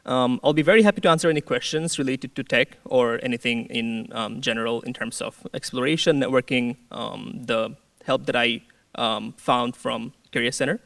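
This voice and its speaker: Gravelly-voiced young man, from Bangladesh. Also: medium pitch, moderate pace, a bit bright, no echo, far from the mic. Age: young adult. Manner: hesitant, many fillers and restarts. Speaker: student peer career advisor. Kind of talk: panel introduction.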